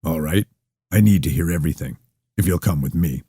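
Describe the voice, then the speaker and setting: A man's voice: a bass voice, a little fast, warm tone, slightly rough, no room sound, voice pushed down. A man doing a weary, skeptical detective. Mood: questioning. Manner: blunt, matter-of-fact questioning. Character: tired, skeptical male police detective.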